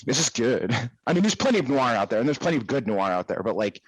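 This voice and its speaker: A man's voice: mid-range voice, very animated, fast, breathy edge, dry recording, rough recording. A man co-hosting a podcast, chatting in a laid-back way, swearing freely and laughing often. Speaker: podcast co-host. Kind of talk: casual chat. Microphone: podcast microphone.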